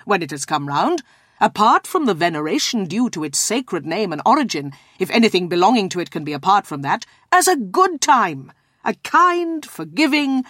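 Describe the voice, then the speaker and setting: A hearty young gentleman from a storybook voiced by a woman, cheerful and warm-hearted. He speaks earnestly with very lively, swinging intonation, recorded close and dry. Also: high-pitched, dry recording, pitched above the performer's own voice, full character voice.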